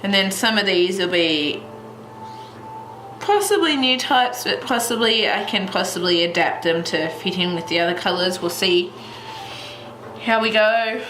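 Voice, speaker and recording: A woman's voice: medium pitch, animated, a little slow. Young aussie woman, blocked-up nose, chatty colouring tutorial, echoey room, noise behind her. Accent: Australian. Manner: rambling, with many fillers and restarts. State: congested, stuffy nose. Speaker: colouring channel host. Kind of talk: casual tutorial narration while colouring. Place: at home, in front of a heater.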